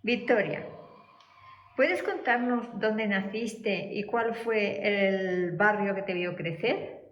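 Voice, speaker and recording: A woman's voice: mid-range voice, slow pace. Woman interviewer in an echoey room. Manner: reads a formal introduction, brief backchannels. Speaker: interviewer, project coordinator. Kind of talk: structured interview questions. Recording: echoey room, dull sound.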